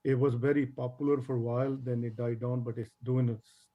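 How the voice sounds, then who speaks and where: Male candidate, halting and informal, muffled audio. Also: mid-range voice, flat delivery. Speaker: council candidate. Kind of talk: candidate speech at a forum.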